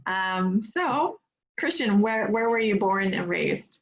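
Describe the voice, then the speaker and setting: A woman moderating an online panel discussion over a video call, measured and encouraging, often affirming the speakers. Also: mid-range voice, expressive. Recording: slightly dull lo-fi call audio.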